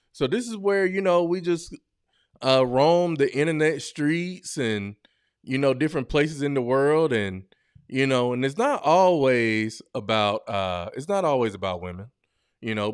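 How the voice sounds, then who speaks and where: A man's voice: medium pitch, clear, resonant tone, no echo, clean studio sound. A man hosting a podcast, reacting to online videos in casual, slangy, blunt and joking commentary full of exclamations. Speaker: podcast host.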